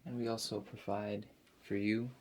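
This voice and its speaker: A man doing an ASMR roleplay as a spa attendant, addressing a client in a hesitant, rambling, unhurried manner. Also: on the low side, flat delivery, a little mellow, slight background noise.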